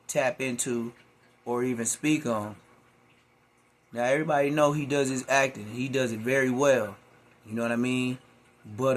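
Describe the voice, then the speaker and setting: A man hosting a reaction video, talking in laid-back slangy street talk. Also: medium pitch. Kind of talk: casual commentary.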